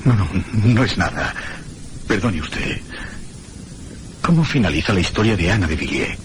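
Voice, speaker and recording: An actor with a Castilian Spanish accent playing a troubled traveller who narrates an eerie radio drama in a measured, literary way. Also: male voice, low-pitched, very animated, noisy background. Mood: uneasy. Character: troubled, brooding male traveller recounting an eerie tale. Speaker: narrator and protagonist. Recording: radio drama with music behind. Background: prominent music.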